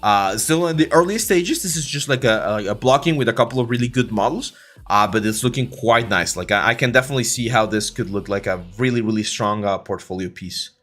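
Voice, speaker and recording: Mexican-accented man hosting a relaxed 3D art stream. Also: mid-range voice, brisk, faint music behind. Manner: relaxed, filler-heavy, many restarts. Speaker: 3D art instructor and livestream host. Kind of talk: casual livestream tutorial, reading chat.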